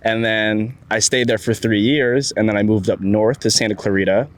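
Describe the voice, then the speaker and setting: A man's voice: fairly low pitch, fairly even intonation, normal speed, no room sound. A young man who grew up in the Philippines, a college student chatting as a guest in a laid-back, slangy way. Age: young adult. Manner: laid-back, slangy, says dude and bro a lot. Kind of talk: casual chat.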